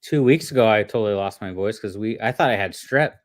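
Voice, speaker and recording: A man's voice: lowish voice, fast, no echo. Easygoing man chatting, dry sound. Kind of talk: casual chat.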